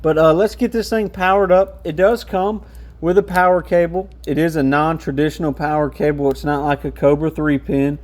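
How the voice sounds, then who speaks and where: Male reviewer, slightly high-pitched, informal and practical, talking through gear as he handles it. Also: steady tone, normal speed, a little mellow. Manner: informal, hands-on explaining. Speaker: radio shop reviewer. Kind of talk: casual product walkthrough.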